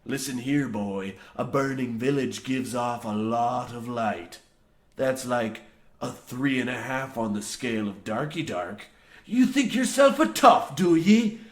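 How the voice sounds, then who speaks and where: An actor playing a grizzled, half-mad old coal miner, boastful and ominous, rambling theatrically in rustic archaic phrasing in a comic old-time radio horror play. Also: male voice, medium pitch, expressive, a little slow, natural voice. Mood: scornful. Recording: roomy, echoing sound.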